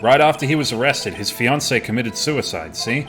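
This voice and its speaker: A man's voice: medium pitch, lively intonation, normal speed, a bit bright, soft background music, close to an everyday voice. A man voicing an earnest, insistent young defense lawyer arguing emphatically in an amateur video game dub. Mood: determined. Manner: emphatic courtroom argument.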